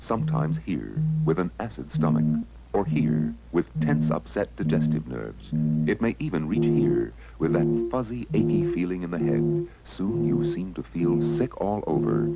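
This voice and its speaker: A muffled, lo-fi old advert recording of a man narrating. His voice is deep and creaky. His pitch moves about in a lively way as he sells. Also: moderate pace. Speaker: commercial narrator. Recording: dull lo-fi old advert audio.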